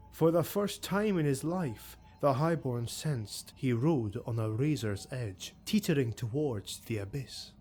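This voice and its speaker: A man narrating dark fantasy prose as an audiobook reader, measured and dramatic. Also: mid-range voice.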